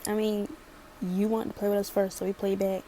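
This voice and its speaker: A woman's voice: medium pitch, little pitch movement, brisk, dark tone, a little hiss or hum. A chatty young woman from Maryland with a light Baltimore accent, rambling sassily through a casual storytime. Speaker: vlogger.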